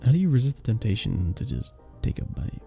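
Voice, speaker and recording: A man voicing a sweet, earnest vampire bartender in a romantic ASMR roleplay, soft-spoken and flirtatious, with hesitant little chuckles. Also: fairly low pitch, very animated, fairly quick, vocal fry, breathy edge, full character voice. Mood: troubled, yearning. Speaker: audio roleplay performer. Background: soft background music.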